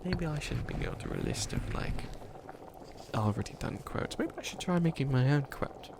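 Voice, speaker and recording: A man playing a grandiose but bumbling evil witch in a comedic ASMR roleplay, breathy and often near a whisper. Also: medium pitch, huge pitch swings, normal speed, noisy background, exaggerated voice. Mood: fussy, sheepish. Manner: theatrical villain declarations breaking into rambling, self-doubting asides.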